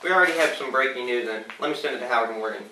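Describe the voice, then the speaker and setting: A man's voice: mid-range voice, very fast, bright, forceful tone, close to an everyday voice. A man playing an earnest 1920s radio news anchor, reading a scripted bulletin in an amateur period skit. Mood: earnest, newsy. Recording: distant, echoey room with faint noise.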